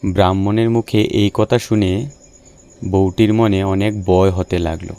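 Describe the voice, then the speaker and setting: A man's voice: sits low, fairly even intonation, a little slow, mellow, clean tone, dry recording. A man narrating a scripted folk tale for a cartoon.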